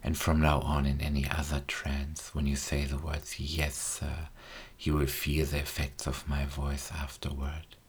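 A man whispering slow, soothing, repetitive suggestions as a hypnotist in an ASMR hypnosis recording. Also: rumbling low, monotone. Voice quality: whispered, breathy.

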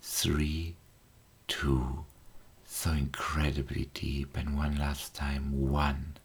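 A man whispers hypnotic suggestions in a breathy, airy hush that sits extremely low and barely moves in pitch. He talks slowly, repeating soothing phrases like an ASMR hypnotist. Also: level tone. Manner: slow, soothing, repetitive suggestions.

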